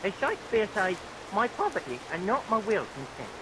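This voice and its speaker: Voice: medium pitch, big pitch swings, a little fast, lightly acted. An actor playing a cheeky, chatty down-on-his-luck youth with colloquial speech in a vintage radio melodrama. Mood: wary, inquisitive. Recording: vintage radio recording, hissy rain noise.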